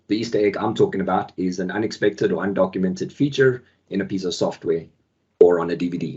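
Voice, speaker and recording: A man with a deep, husky voice, croaky from the flu, hosts a product webinar over a video call. He talks casually, full of ums and filler. The call audio sounds muffled. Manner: relaxed, many ums, friendly filler words. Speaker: webinar host and product manager. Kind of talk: casual software webinar presentation. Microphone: video call microphone.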